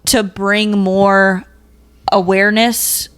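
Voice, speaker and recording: A woman's voice: mid-range voice, level tone, takes it slowly, fairly bright tone, clear, resonant tone. A woman co-hosting a podcast, bantering casually in a blunt, informal, slangy way. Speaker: podcast co-host.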